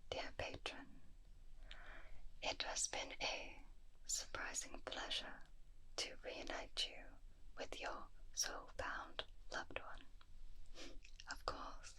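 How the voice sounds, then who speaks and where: A woman whispering a gentle, soothing ASMR fantasy roleplay as an attentive shopkeeper, close to a binaural microphone. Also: takes it slowly, long pauses. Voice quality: breathy unvoiced whisper. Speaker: ASMR roleplay host playing a magical creature shopkeeper.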